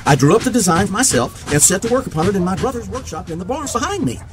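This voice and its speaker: An actor in an audio drama playing an eccentric, eager genius mechanic in a wheelchair, wordy and precise. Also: male voice, high voice, sing-song, quick pace, over music. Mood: proud, eager.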